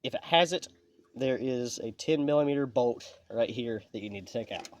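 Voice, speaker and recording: A man's voice: mid-range voice, normal speed, a little hiss or hum. A man hosting his channel, walking through a repair step by step in a plainspoken, folksy way, sounding sniffly from allergies. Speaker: channel host. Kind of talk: casual how-to repair walkthrough.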